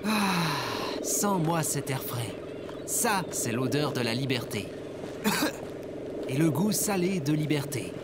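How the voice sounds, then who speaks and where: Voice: mid-range voice, very animated, slow. An actor in a French comedy audio drama playing a whiny, defiant, slangy last man left on Earth. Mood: smug, elated. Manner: exasperated, slangy, grandiose. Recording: very noisy background of ambient effects.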